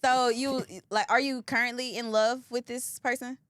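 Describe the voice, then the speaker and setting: A woman's voice: fairly high pitch, steady tone, moderate pace, fairly bright tone, no room sound. A woman co-hosting a casual talk show, blunt and sassy. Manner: sassy, blunt, laughing asides. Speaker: podcast co-host. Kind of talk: casual chat.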